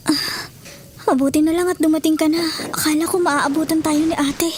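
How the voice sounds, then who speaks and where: A woman playing an anxious but playful young sweetheart in a Filipino audio drama, coy and quick to scold in jest. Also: high-pitched, steady tone, a little hiss or hum, natural voice. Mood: anxious, impatient. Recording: prominent music under the voice.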